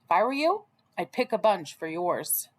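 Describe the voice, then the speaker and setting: A woman's voice: medium pitch, very animated, moderate pace. A woman voicing a sly, hungry male wolf in a storybook, with a coaxing, falsely sweet manner.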